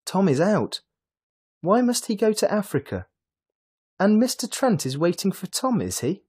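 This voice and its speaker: A man's voice: lowish voice, sing-song, slow pace, breathy edge, no room sound, clean studio sound, full character voice. A man reading a storybook, voicing a flustered middle-aged wife who frets and asks anxious questions. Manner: startled, fretful questioning.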